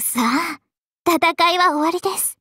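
Anime game role: young dragon maiden, high and unhurried, genteel, devoted, possessive. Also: female voice, dry recording, clean studio sound, heavily acted. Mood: composed, then mournful. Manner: polite, genteel, softly deliberate. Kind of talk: Japanese anime game voice lines.

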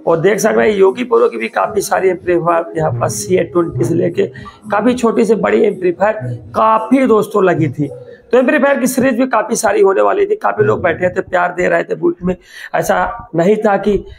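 A man's voice: sits high, fairly quick, gravelly, rough recording. A man giving a casual, rambling product showcase as a vlogger, repeating himself and addressing viewers like friends.

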